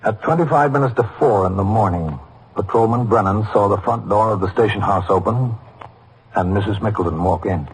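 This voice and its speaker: A stern police captain in a vintage radio crime drama. His voice is fairly low and husky, and he speaks with firm, deliberate authority. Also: low-quality recording. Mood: measured narration. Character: stern, measured male police precinct captain. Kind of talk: old-time radio drama acting and narration.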